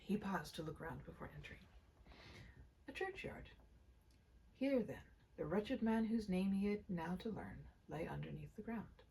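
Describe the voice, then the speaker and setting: A woman reading a story aloud with an expressive, theatrical and emphatic delivery. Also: on the low side, measured pace, vocal fry, slight background noise, poor audio. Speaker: reader.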